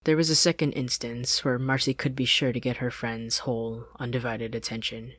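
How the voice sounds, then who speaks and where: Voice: mid-range voice, level tone, normal speed, a bit bright, dry recording. A narrator reading fan fiction as scripted story narration, close to the microphone. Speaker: podfic narrator. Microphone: close studio-style desk microphone, dry.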